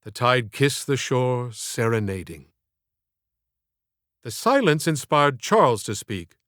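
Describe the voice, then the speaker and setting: A man reading literary scripted narration as an audiobook author, in a measured, theatrical way. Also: mid-range voice, big pitch swings, a bit bright, no room sound, clean studio sound.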